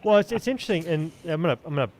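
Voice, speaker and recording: A man's voice: a little high, expressive, rapid-fire, a little mellow. A man hosting a podcast, chatting casually with the group. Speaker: podcast host.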